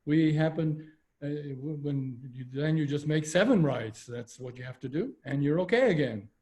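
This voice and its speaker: A man's voice: a little high, moderate pace, a little mellow, close to an everyday voice. A man reading the part of a cheerfully stubborn elderly father in his nineties, in a folksy, patient way over a video call. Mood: amused.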